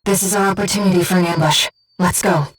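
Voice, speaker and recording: An actress playing a gentle, devoted female voice in a pilot's head, a composed and precise mission guide in a video game. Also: fairly low pitch, steady tone, fast talker, breathy edge, distant mic, faint music behind, low-quality recording. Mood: composed, focused. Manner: composed, precise, earnest delivery. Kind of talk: video game voice acting, tactical briefing.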